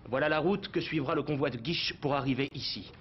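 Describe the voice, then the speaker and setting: A man's voice: fairly high pitch, brisk, a little hiss or hum, close to an everyday voice. A man voicing a resolute, earnest rebel leader in a French-dubbed cartoon. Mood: urgent briefing. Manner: commanding, earnest. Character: resolute heroic male leader of rebel cadets. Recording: dull lo-fi TV audio under music.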